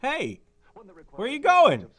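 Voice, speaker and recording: Man playing a wildly animated, excitable party buddy. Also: very high voice, slow pace, full character voice. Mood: sheepish, casual. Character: excitable, casual young male party friend betting on football. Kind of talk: audio drama acting.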